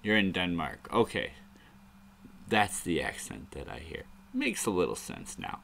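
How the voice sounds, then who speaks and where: A deep-voiced man talks slowly on a live stream, thinking out loud as he goes. He rambles through his points and swears casually. A faint ambient hiss sits under his voice. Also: very animated, vocal fry. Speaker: show host.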